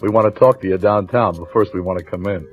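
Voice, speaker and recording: A man's voice: sits low, quick pace, faint music behind, natural voice. A man playing a sarcastic, bossy police lieutenant in a 1940s radio crime drama, clipped and commanding. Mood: sardonic, brisk. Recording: muffled vintage radio recording, narrow phone-like band.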